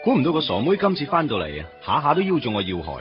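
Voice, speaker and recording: A man's voice: mid-range voice, huge pitch swings, rapid-fire, muffled recording, music in the background. An actor with a Hong Kong Cantonese accent playing a wistful, teasing young man in a radio drama, speaking in a wry, colloquial way. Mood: wistful, reflective. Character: wistful, teasing young man reuniting with his first love. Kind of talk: radio drama acting with narrated inner monologue.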